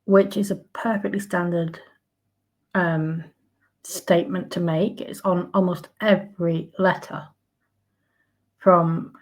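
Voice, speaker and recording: A woman with a croaky voice, ranting in casual commentary with outraged sarcasm and plenty of fillers and restarts. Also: on the low side, flat delivery, takes it slowly, pauses often. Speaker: commentary vlogger.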